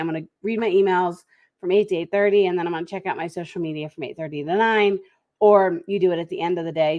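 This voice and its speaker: Woman host with a head cold. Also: fairly low pitch. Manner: conversational, lively, speeds up and slows down. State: head cold, slightly congested. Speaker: podcast host. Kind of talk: solo talk giving business tips.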